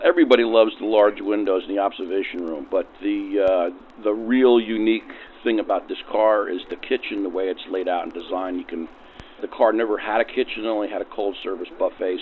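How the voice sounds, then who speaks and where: A man with a fairly low voice explains things in an interview as a rail car restorer. The sound is thin, like an old radio. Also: brisk, phone-quality sound, a little hiss or hum. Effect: vintage radio filter. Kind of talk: informative interview answers.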